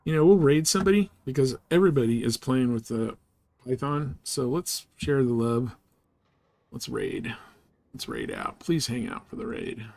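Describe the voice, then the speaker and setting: Gravelly man, casual game streamer. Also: medium pitch, normal speed, darkish tone. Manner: informal, rambling, slangy. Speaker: live game streamer, host. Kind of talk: casual live-stream chat with game commentary.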